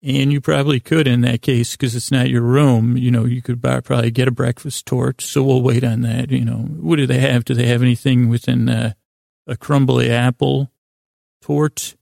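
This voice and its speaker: A man hosting a bedtime podcast in a creaky, gravelly voice, rambling and halting, repeating himself and wandering into absurd asides. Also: medium pitch, fairly even intonation, darkish tone, no echo, studio quality. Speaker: podcast host. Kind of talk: rambling bedtime monologue to help listeners sleep.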